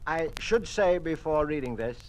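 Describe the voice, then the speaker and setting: A man's voice: a little high, expressive, normal speed, lightly acted. An actor with an American accent playing an earnest, dutiful male communist conspirator in an old-time radio drama. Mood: formal, official. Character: uneasy male communist conspirator, later a dutiful party official. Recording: noisy vintage radio recording.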